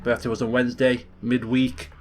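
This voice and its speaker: A man with a slightly hoarse voice, hosting a chatty let's play and walking through game menus. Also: mid-range voice, little pitch movement, moderate pace, darkish tone, faint music behind. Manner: upbeat, chatty, explains game menus step by step. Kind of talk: casual gameplay commentary.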